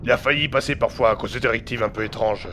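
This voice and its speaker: An actor playing a blunt, slangy underworld agent who presses suspiciously for answers in an audio drama. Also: male voice, medium pitch, fast, a bit bright, a little hiss or hum, lightly acted. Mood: probing, wary.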